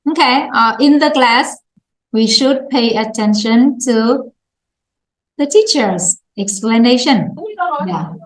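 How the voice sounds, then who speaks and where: A woman's voice: on the high side, takes it slowly, reverberant, poor audio. A woman English teacher with a Vietnamese accent, patiently prompting students in an online lesson and laughing often.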